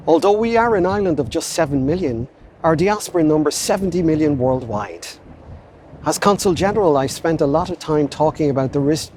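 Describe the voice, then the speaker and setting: A man's voice: on the high side, a little hiss or hum. Courteous man hosting a formal reception. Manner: measured, courteous introductions. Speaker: consul general.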